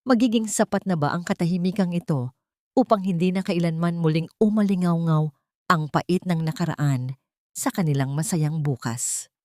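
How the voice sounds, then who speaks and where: A woman with a low voice reads a sentimental story as scripted narration. Her delivery is measured, earnest and literary, and the sound is dry, with little room around it. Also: darkish tone, no room sound.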